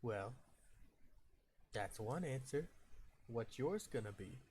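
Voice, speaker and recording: A man runs a tabletop roleplaying game, narrating scenes and addressing the players. His speech is slow and his voice sits at a middle pitch. There is noticeable noise on the recording. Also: lively intonation, a little mellow. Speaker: game master. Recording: clearly noisy home online-call audio.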